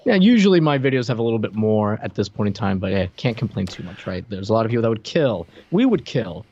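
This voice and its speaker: Guy in his 30s podcasting, lively and playful, trips over repeats, slightly muffled sound. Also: fairly low pitch, fast talker. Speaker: podcast co-host. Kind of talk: casual chat. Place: room at home, on a video call. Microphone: desk microphone up close. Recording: dull, close dry mic.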